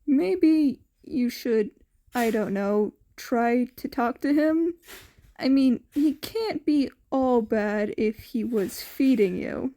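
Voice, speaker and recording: A woman's voice: fairly high pitch, lively intonation, takes it slowly, mellow. Woman playing an awkward, kind teen boy. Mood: gently advising. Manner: casual, understated, slightly awkward. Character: awkward, kind, low-key teenage boy, protective friend.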